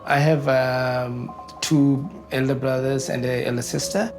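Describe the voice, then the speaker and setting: Hesitant Sri Lankan man, gravelly flat voice. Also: medium pitch, measured pace. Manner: hesitant, many ums and uhs. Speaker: interviewee, community advocate. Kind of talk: recorded interview, reflective. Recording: background music under voice.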